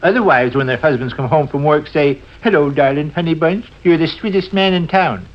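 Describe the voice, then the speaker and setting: An actor plays a florid, teasing middle-aged American husband in a vintage radio comedy. His voice sits fairly high for a man and he puts on mock indignation. The old broadcast sounds dull and lo-fi. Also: a little fast, close to an everyday voice. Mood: mock indignant. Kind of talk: 1940s radio comedy acting.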